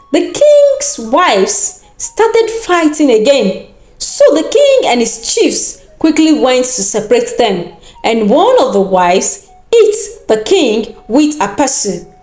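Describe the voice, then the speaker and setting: High, animated Nigerian woman storyteller. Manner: deliberate, expressive delivery. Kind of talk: dramatic scripted folktale narration. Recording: roomy echo with background music. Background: music.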